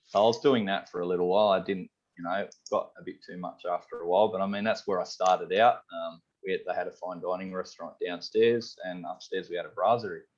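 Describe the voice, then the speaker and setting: A man's voice: low voice, fast, few pauses. A man from Sydney with an Australian accent, a head chef chatting casually in an interview, rambling with lots of "you know" and "um". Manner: rambling, relaxed, many fillers like "you know" and "um". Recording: slightly dull lo-fi audio.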